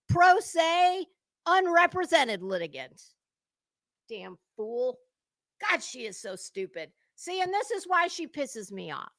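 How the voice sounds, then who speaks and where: High, bright, slow-talking sarcastic woman. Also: dry recording, studio quality. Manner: sarcastic, scornful, blunt and sweary. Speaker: host of a gossip commentary show. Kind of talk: dramatic reading with sarcastic live commentary.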